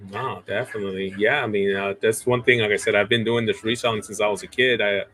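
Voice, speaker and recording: A man in his forties, a guest on a casual chat, talking in a relaxed way with a lot of "uh"s. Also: on the low side, quick pace. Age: 40s. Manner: relaxed, many fillers and restarts. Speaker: guest reseller.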